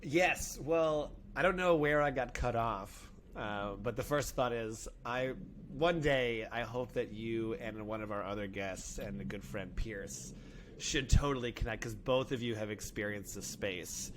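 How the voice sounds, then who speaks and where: A male podcast co-host speaking from home over a video call with earbuds. His voice sits at a middle pitch, and he talks in an upbeat, eager way, with a faint hum of noise in the background. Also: measured pace, a bit bright. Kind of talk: casual chat. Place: indoor room at home.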